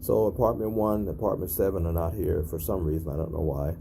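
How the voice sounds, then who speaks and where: A very low-pitched man with a gravelly, fry-heavy voice talks casually to camera as he demonstrates equipment on site. His delivery is matter-of-fact and repetitive, and the handheld recording carries clear ambient noise. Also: fairly even intonation, a little fast, warm tone. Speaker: narrator documenting an intercom test. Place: at a building's entry intercom panel.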